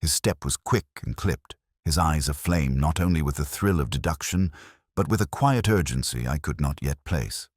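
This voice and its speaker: Very deep-voiced man narrating a mystery. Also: lively intonation, normal speed, husky, dry recording, studio quality. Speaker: narrator, reading as Dr. Watson. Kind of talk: scripted audiobook narration of a Victorian detective story.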